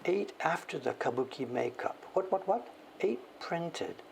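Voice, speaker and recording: Older guy in his 60s rambling on a craft stream, gravelly voice, faint hiss behind. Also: on the high side, lively intonation, a little slow. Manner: rambling, self-correcting, talks about himself in third person. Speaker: woodblock printmaker streaming his work. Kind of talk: casual livestream chat.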